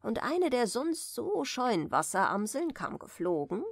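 A woman narrates a bedtime fairy tale in a soft, soothing way. Her voice sits a little high and moves with lively melody, at a very unhurried pace. She reads gently, as if easing a listener to sleep. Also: creaky, dry recording, studio quality. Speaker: audiobook reader.

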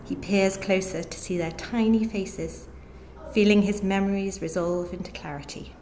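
A woman reads a piece of short fiction aloud at a middling pitch. The space is echoey, with faint noise behind her. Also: fairly even intonation, moderate pace, a little mellow. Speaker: reader of a short story. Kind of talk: scripted narration, live reading. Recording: echoey room with background noise.

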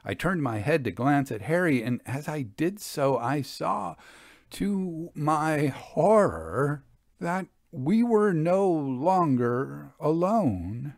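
A man narrating scary stories in a suspenseful, deliberate, theatrical manner. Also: fairly high pitch, expressive, creaky, a little mellow, no echo, clean studio sound. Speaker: narrator, actor and author. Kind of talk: dramatic scripted ghost story narration.

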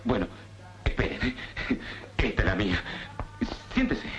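An actor playing a streetwise Argentine tango singer with a Rioplatense accent, in a 1960s film comedy. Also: male voice, on the low side, unhurried, very noisy. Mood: wry. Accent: Rioplatense Spanish. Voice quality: lively, streetwise.

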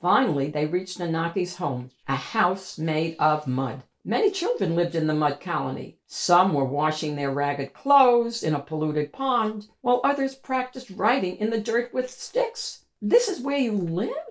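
A woman reading a children's picture book aloud as an expressive, scripted storyteller. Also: on the low side, a little slow, hardly any pauses. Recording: echoey room sound.

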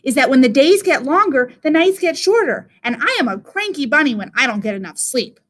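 A woman voicing a grumpy, fretful male rabbit in a storybook, complaining with drawn-out phrases. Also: high voice, expressive, fairly bright tone. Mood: grumbling. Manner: complaining, drawn-out lead-ins.

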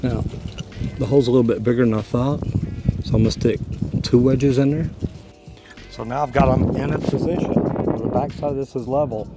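A man's voice: medium pitch, steady tone, fairly quick, pauses often. A man, explaining a hands-on job in a casual, folksy way as a plumber hosting a tutorial. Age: 50s to 60s. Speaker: plumber and video host. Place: outdoors beside a brick house. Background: music.

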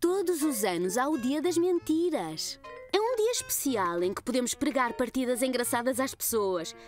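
Uma mulher dá voz a uma mãe de desenho animado, simpática e brincalhona, que explica as coisas com paciência. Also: female voice, sits high, moderate pace, soft background music. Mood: cheerful. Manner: warm, expressive, laughs easily. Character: kind, playful cartoon mother.

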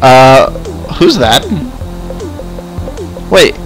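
A man's voice: medium pitch, huge pitch swings, drawn-out words, poor audio. A man improvising in-game roleplay chat in a hesitant, stammering way, often repeating phrases. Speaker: host of a gaming roleplay series. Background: background music.

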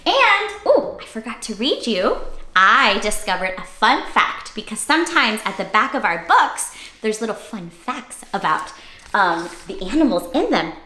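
A young adult woman reading a picture book aloud to young children in an animated, exclamatory, questioning way. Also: a little high, measured pace. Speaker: children's read-aloud host. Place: small cozy indoor room. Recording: roomy indoor echo.

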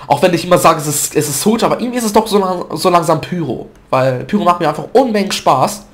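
A man's voice: high-pitched, expressive, fast, fairly bright tone, slight background noise. A man with a stuffy nose from a cold, chatting loosely through gameplay commentary with fillers and self-corrections, recorded at a desk mic at home. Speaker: gaming video commentator.

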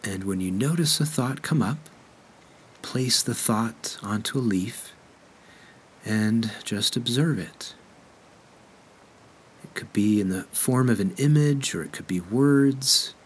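A man leading a guided meditation in a gentle, unhurried way with measured pauses. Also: mid-range voice, expressive, a little hiss or hum.

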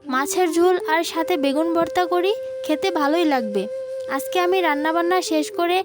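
An extremely high-voiced young village wife played by a woman, dutiful but put-upon. She speaks plaintively in a cartoon family drama, over clearly audible music. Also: a little fast. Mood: musing. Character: overworked, aggrieved young village daughter-in-law, dutiful.

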